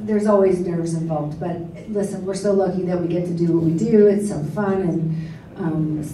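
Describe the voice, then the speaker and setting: A woman speaks earnestly and reflectively on a convention panel, with a warm, mellow tone. She is far from the mic in a reverberant hall. Faint crowd noise sits behind her. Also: on the low side, fairly even intonation, moderate pace.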